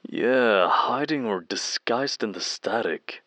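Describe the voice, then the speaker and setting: A man's voice: lowish voice, lively intonation, takes it slowly, fairly bright tone, dry recording, full character voice. A man acting as a friendly, eager audio technician and fan in an audio drama, chatty and a little hesitant, heard over a phone. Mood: excited, rambling. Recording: muffled band-limited phone call, dry.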